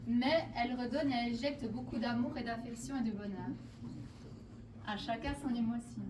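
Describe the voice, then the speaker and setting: A woman's voice: on the high side, background noise. A woman painter from Portugal guiding visitors through her exhibition, speaking hesitantly with many fillers and restarts. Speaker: painter presenting her artworks. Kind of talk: guided exhibition walkthrough. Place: exhibition hall. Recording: roomy hall, speaker far from the mic.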